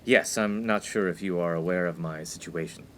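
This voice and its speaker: A man's voice: deep voice, moderate pace, a little hiss or hum, close to an everyday voice. A man playing a studious, proper young college freshman in a comic radio play, earnest and easily exasperated. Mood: polite, hesitant. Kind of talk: 1950s-set comic radio play acting.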